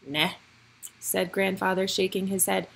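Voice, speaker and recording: A woman reading a picture book aloud for young children, with expressive, deliberate storytelling phrasing. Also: medium pitch, gravelly, slight background noise, poor audio.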